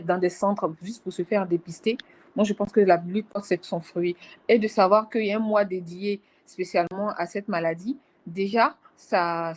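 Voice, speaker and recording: A woman from Africa speaks on a webinar panel over a video call. She answers in a measured, reflective way, with small hesitations as she gathers her thoughts. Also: mid-range voice, a little mellow, poor audio. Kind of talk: webinar discussion.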